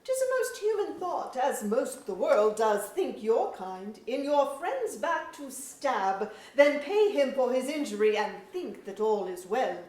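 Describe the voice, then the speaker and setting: A woman acts a sorrowful, proud fairy king in a staged verse play. The delivery is slow and declamatory, in a fairly high voice. It sounds distant, in an echoey auditorium. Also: very animated, a little mellow. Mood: bitter. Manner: formal, declamatory, archaic phrasing. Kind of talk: staged reading of verse drama. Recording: echoey auditorium, recorded from far off.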